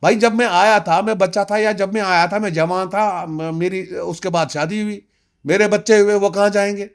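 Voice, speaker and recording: High-voiced, insistent older man. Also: fairly even intonation, normal speed. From Kashmir, India. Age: around 60. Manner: emphatic, insistent, uses rhetorical questions. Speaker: interviewee, community representative. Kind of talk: interview testimony mixing Hindi with English phrases.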